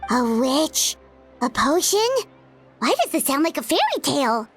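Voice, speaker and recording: A woman voicing a tiny, excitable, childlike fairy companion in a video game, squeaky, exclamatory and expressive. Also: normal speed. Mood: curious, amazed. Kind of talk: cartoonish game dialogue. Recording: music playing behind the voice.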